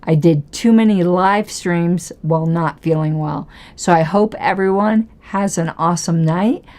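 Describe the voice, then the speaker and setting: Hoarse woman, low-pitched, hosting a political livestream, argumentative, fond of rhetorical questions. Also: unhurried, a little mellow. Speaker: political commentary livestream host. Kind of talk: casual livestream commentary.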